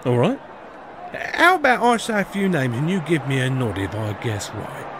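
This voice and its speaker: An actor playing a chummy but slyly threatening peasant in a comic fantasy audio drama. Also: male voice, medium pitch, very animated, normal speed, close to an everyday voice. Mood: coaxing. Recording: noisy outdoor ambience.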